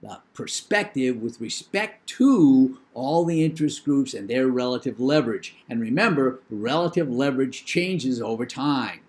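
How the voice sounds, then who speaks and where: An older man with a New England accent giving a recorded lecture in a measured, opinionated way, with thinking pauses and fillers. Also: medium pitch, animated, a little mellow.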